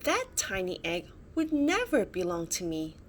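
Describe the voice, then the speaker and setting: A woman voicing an enormous, kindly, amused dinosaur in a children's storybook, gently giving advice. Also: fairly low pitch, huge pitch swings, a little slow, slight background noise. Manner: chuckling, gently advising. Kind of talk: children's storybook character reading.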